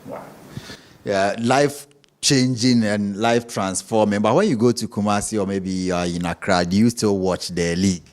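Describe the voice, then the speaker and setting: Man, sports interviewer, low pitch, brief prompts, long anecdotes. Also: steady tone, normal speed. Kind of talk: casual interview.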